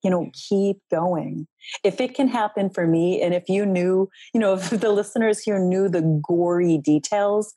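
Soft-spoken earnest woman, dark dry voice. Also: medium pitch, normal speed, no room sound. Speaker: guest, relationship coach. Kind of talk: heartfelt podcast interview.

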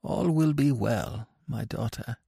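A man voicing a pious, weary aged priest from the grave, with soft, gentle, resigned archaic speech for a storybook. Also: mid-range voice, slow pace, creaky, slightly rough, no echo, clean studio sound, pitched above the performer's own voice, exaggerated voice. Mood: soothing. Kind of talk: dramatic storybook reading.

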